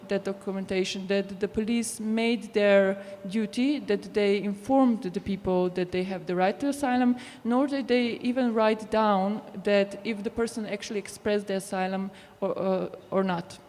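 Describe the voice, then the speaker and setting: Woman doing a conference panel talk, explains stuff step by step, big echoey hall, medium pitch. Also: normal speed, few pauses, a little mellow. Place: indoor conference venue. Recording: echoey hall with long reverb.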